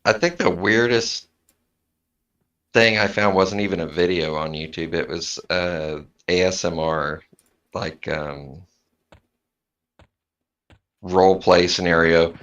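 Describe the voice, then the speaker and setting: A man chatting casually on a stream, talkative and quick to laugh. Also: sits low, fairly even intonation, fairly bright tone, dull audio. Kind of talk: casual chat.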